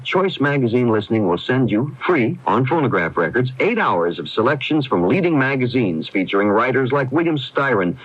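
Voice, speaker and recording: A man delivers a scripted public service spot. His medium-pitched voice is lively in intonation and a bit rough. The sound is a dull old radio broadcast. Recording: muffled AM radio recording.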